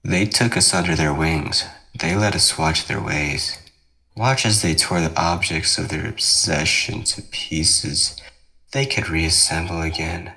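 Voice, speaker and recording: A man playing a young ghost twin in an ASMR roleplay, taunting and obsessive, speaking with measured, coaxing menace. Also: a bass voice, steady tone, vocal fry, bright, forceful tone, exaggerated voice, rough recording. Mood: darkly fascinated.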